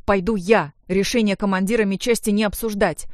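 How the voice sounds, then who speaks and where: A woman's voice: medium pitch, fairly even intonation, a little fast, no echo, clean studio sound, lightly acted. A woman voicing a commanding, selfless young male army doctor in an audiobook, stern and urgent. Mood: resolute. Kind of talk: acted audiobook dialogue.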